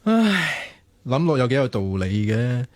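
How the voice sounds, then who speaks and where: An earnest young student programmer with a mid-pitched voice and a Hong Kong Cantonese accent. He is lovelorn and wryly self-mocking, with a sighing delivery suited to radio drama banter. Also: big pitch swings, unhurried. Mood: rueful.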